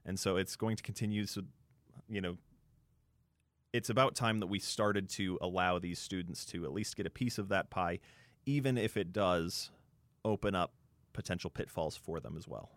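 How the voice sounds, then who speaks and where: A man with a fairly low voice talks sports casually as a radio co-host. He thinks aloud a little hesitantly, recorded dry and close. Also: normal speed, no room sound.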